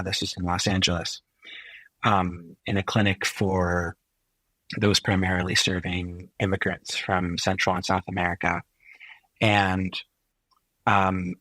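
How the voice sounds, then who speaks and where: Middle-aged male physician guest, low husky voice, unhurried and reflective, dry close sound. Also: fairly bright tone, dry recording, poor audio. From Chile. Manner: thoughtful, unhurried, often pausing to find words. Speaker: interview guest, physician and technologist. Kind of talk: reflective career storytelling.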